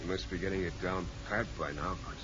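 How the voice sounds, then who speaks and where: An actor playing a wounded, suspicious husband in 1950s American radio drama, intense and accusing in a clipped manner. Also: male voice, low voice, brisk, a bit bright. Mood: bitter accusation. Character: wounded, suspicious, angry young husband and father. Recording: dull, faintly noisy old radio recording.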